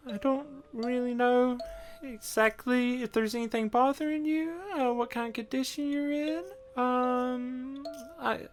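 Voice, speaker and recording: A woman voicing a caring, fussing creature medic in a tabletop roleplay, gentle and a little hesitant. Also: high voice. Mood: gentle, unsure.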